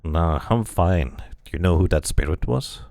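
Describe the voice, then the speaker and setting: A man's voice: deep voice, animated, moderate pace, creaky, darkish tone, dry recording, voice pushed down. A man voicing a weary, terse immortal wanderer with no memory, reading game dialogue in a grave, questioning manner.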